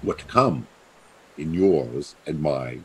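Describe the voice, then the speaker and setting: An actor playing a scheming, treacherous usurping duke in a Shakespearean radio play, theatrical and insinuating. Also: male voice, very deep voice, expressive, takes it slowly, fairly bright tone, husky, heavily acted.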